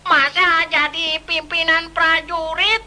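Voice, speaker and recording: A woman doing a cheeky squirrel, high and bright. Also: little pitch movement, slow, exaggerated voice. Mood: teasing. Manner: teasing, giggly, slips on words.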